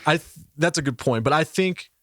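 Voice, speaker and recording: A man's voice: a little high, expressive, brisk, fairly bright tone, dry recording. Rambling casual American man, dry sound. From United States. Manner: rambling, loose, peppered with "you know what I mean". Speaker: podcast co-host. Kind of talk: casual chat.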